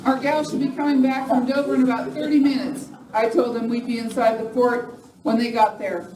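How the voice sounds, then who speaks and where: A woman's voice: on the high side, fairly even intonation, brisk, lightly acted. A woman playing a bold, bloodthirsty, commanding outlaw gang leader in an amateur western radio play. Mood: determined. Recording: echoey hall, distant mic, noisy.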